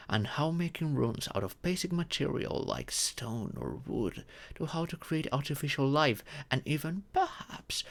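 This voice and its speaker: A gentle old dragon in humanoid form, acted by a man, speaks slowly with a creaky edge. The pitch swings widely through formal, patient explanations, as a doting parent would give in an audio roleplay. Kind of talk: scripted audio roleplay monologue.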